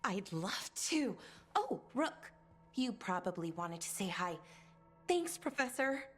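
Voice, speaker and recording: A woman voicing an enthusiastic, bookish young companion in a video game, with a husky voice. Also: medium pitch, very animated, takes it slowly, faint music behind, exaggerated voice. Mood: delighted.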